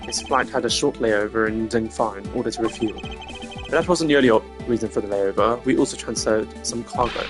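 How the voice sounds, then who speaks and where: A man voicing a composed, analytical prosecutor in a video game, with a measured, formal and dryly superior delivery. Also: fairly low pitch, music in the background, lightly acted. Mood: methodical.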